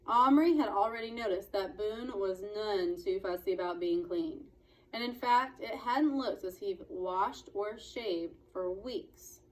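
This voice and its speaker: Female storyteller, mid-range voice, expressive children's book narration, deliberate dramatic phrasing. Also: medium pitch, a little hiss or hum, rough recording. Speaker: read-aloud storyteller.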